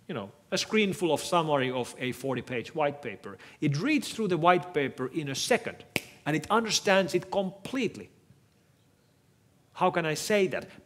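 A middle-aged man whose native language is Finnish, giving a measured, thoughtful keynote talk on stage in a lecture hall, speaking into a headset mic. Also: on the high side. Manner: measured, thoughtful, frequent restarts. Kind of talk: conference keynote with audience Q&A.

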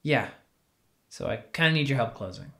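A man playing an awkward, sheepish young retail worker in an audio drama, with a halting, casual, wry delivery. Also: mid-range voice, animated, sharp tone. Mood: awkward, pleading. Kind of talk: naturalistic scripted audio drama dialogue.

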